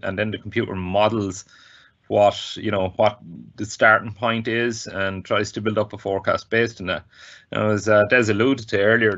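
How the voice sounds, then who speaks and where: Man, Irish accent, deep pitch, casual explainer, hesitant phrasing, muffled lo-fi audio. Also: creaky, fairly bright tone. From Ireland. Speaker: guest contributor, volunteer weather observer.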